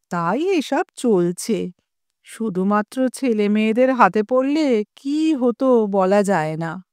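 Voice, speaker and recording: A woman narrating a scripted story in a measured, expressive way, as an audio storyteller. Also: on the high side, clear, resonant tone, no room sound, clean studio sound.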